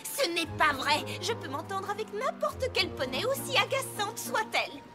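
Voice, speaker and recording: Fussy posh cartoon pony, super high and singsong, music playing behind. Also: female voice, big pitch swings, moderate pace. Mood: prim. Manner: prim, affected, theatrical. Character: prim, fussy young mare pony, refined and dramatic. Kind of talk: French cartoon dub. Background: cartoon music score.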